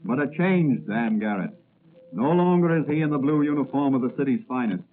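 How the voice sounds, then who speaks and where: A man's voice: medium pitch, lively intonation, a little fast. A man giving dramatic scripted narration in the style of 1940s radio. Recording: narrow-band vintage radio recording. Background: music.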